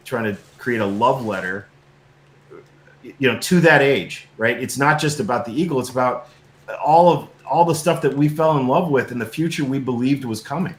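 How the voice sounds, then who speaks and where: A middle-aged man speaking as an interview guest and filmmaker, telling stories casually in an earnest, reflective, halting way with emphatic repetition. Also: medium pitch. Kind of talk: casual conversational storytelling. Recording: roomy echo, faint background noise.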